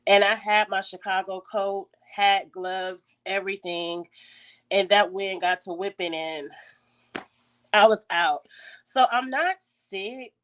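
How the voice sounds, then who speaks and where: Congested raspy woman telling a story. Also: mid-range voice, takes it slowly, fairly bright tone. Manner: chatty, frequent throat clearing. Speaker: YouTube host. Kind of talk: casual story time. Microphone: phone.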